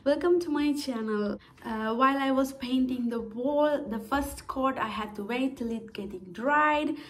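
A woman's voice: high-pitched, a little slow, fairly bright tone. A woman in her thirties, a DIY vlogger, talking in a friendly, chatty way with hesitant fillers, filmed at home by a camera in front of her. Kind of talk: casual vlog narration. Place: home, indoors, with a toddler on her lap. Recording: music playing behind her voice. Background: background music.

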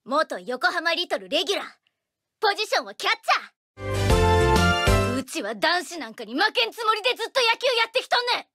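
A woman's voice: very high voice, very animated, brisk, long pauses, over loud music. A woman voicing a proud, competitive teenage girl baseball catcher with a Kansai accent in a game.

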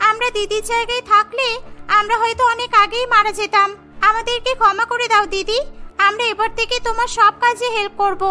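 A woman's voice: very high-pitched, sharp tone. A woman voicing a worn-out, complaining young daughter-in-law in a cartoon, whiny and pleading.

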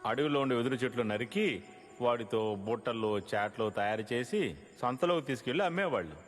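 A man's voice: mid-range voice, fairly quick, close to an everyday voice. A man playing a patient, worldly father who tells and explains a moral bedtime story in a cartoon. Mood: calm storytelling. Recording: long reverb, music behind.

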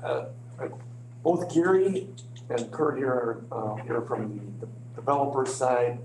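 A man with a slightly crackly voice, briefing a meeting informally as an official, halting and hedging as he goes. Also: medium pitch. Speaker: village official presenting to a board. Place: indoor village board meeting room. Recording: echoey meeting room, clear hum.

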